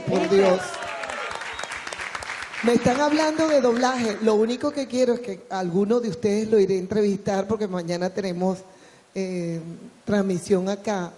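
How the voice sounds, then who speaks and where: A woman's voice: medium pitch, warm tone, slight background noise. An older woman, a journalist and actress, speaking enthusiastically from the floor. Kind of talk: comment from the floor. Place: large event hall. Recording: long hall reverb.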